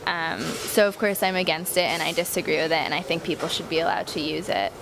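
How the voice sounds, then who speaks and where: A woman's voice: deep voice, steady tone, fast, vocal fry. A young woman from California giving thoughtful, hesitant street interview answers. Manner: thoughtful, uses fillers. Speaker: interviewee, foreign student. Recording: noisy outdoor street recording.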